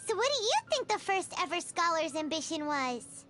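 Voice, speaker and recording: A woman voicing a tiny, excitable, food-loving female fairy companion in a video game, squeaky and childlike, bubbly and exclaiming. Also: moderate pace, over loud music. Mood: pondering. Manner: speaks of self in third person, exclaiming, bubbly. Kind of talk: video game character dialogue.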